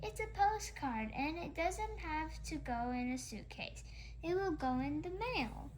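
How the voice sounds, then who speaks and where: A woman voicing a curious, chatty, excitable little girl pig in a children's storybook read-aloud. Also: sits high, a little slow, slight background noise, pitched above the performer's own voice, low-quality recording. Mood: eager.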